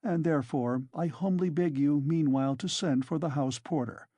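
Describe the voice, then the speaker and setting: A reader voicing a pompous, reproachful middle-aged man who speaks smoothly and formally. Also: medium pitch, normal speed, warm tone, no echo, lightly acted.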